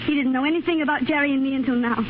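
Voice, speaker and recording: An actress playing an earnest, impassioned married aunt who pleads emphatically in a 1930s radio drama. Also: female voice, high voice, steady tone, very fast, narrow, tinny audio, close to an everyday voice. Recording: thin, noisy 1930s radio broadcast.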